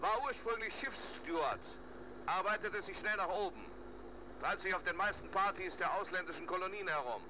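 Ambassador, played by a man, radio crime drama: high-pitched, factual and formal, heard over a clearly noisy long-distance line. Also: moderate pace, natural voice. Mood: matter-of-fact. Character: male ambassador phoning from South America, matter-of-fact, disapproving.

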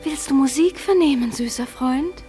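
A woman's voice: high-pitched, normal speed, close to an everyday voice. An actress playing a doting, seductive fairy queen in a classic radio play, speaking verse in a lyrical, caressing, theatrical manner. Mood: eager, doting. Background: music.

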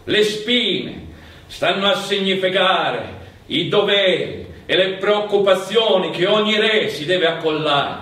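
A man's voice: sits high, slow pace, bright, forceful tone, exaggerated voice. Actor as solemn Sicilian peasant king, echoing hall. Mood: solemn, proud. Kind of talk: stage drama. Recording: very echoey hall, distant mic, faint noise.

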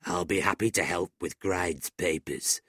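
A scheming old schoolmaster, low-pitched and sly, as a male storyteller puts him on. The voice sits a little under the narrator's own and sounds dry and close. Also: a little slow, pushed and bright, breathy edge, dry recording, full character voice. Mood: sly coaxing.

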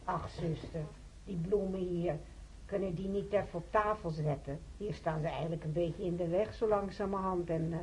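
An actress playing a fussy, demanding hospital patient in a radio drama, politely insistent. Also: female voice, on the low side, moderate pace, darkish tone, lightly acted. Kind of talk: naturalistic radio drama acting. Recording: noisy old radio recording.

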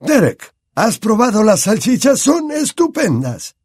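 A genial old headmaster, as a male narrator puts him on, cheerful yet firm. His voice is pitched up and a little husky, with lively, bouncing intonation. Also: measured pace, dry recording, clean studio sound, heavily acted.

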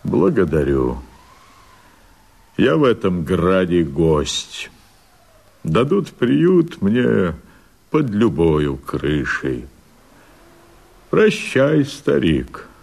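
A man, an actor playing a rugged wandering stranger in a verse radio play, gravelly and dramatically declamatory. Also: deep voice, sing-song, extremely slow, long pauses, darkish tone, exaggerated voice. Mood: grateful, restless. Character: wandering stranger, rugged male guest in town.

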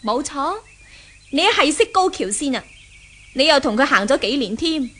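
A woman's voice: high voice, expressive, moderate pace, fairly bright tone. An actress playing an arrogant, domineering young rich woman in a 1970s radio drama, with a Hong Kong Cantonese accent and a sneering, imperious manner. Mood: scornful, impatient.

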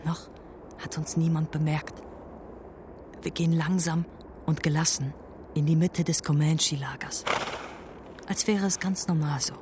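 A man's voice: on the high side, steady tone, a little slow, long pauses, background noise, close to an everyday voice. Man playing a solemn young adopted Apache warrior. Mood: calming, tense. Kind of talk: German radio drama acting. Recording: music and outdoor sounds behind.

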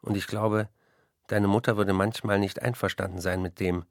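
An actor playing a troubled male writer, reading his letters in a measured, confiding, literary manner for a documentary. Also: lowish voice, level tone, no room sound, clean studio sound, lightly acted. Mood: reflective, resigned.

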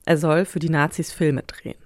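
A narrator reading a scripted introduction to a radio drama in a measured, matter-of-fact way. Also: medium pitch, flat delivery, dry recording, clean studio sound.

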